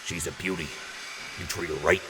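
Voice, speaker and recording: A man's voice: flat delivery, fairly quick, close to an everyday voice. A man playing a boisterous, generous wasteland quartermaster in an audio drama, with a hyped showman's delivery. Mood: proud, easygoing. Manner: hyped showman with booming catchphrase outbursts. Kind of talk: post-apocalyptic audio drama acting. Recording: noisy, reverberant mix.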